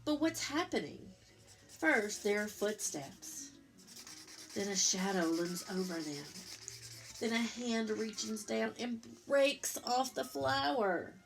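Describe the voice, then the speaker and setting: Unhurried woman reading to children. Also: fairly high pitch, expressive, gravelly, soft background music, rough recording. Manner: explains to young listeners, asking them questions. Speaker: teacher reading a picture book aloud. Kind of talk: children's story read-aloud with explanatory asides.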